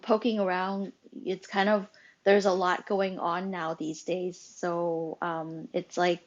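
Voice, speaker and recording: A woman's voice: mid-range voice, dry recording, highs cut off. Woman hosting a casual audio chat room. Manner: rambling, many fillers and restarts. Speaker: host and club moderator.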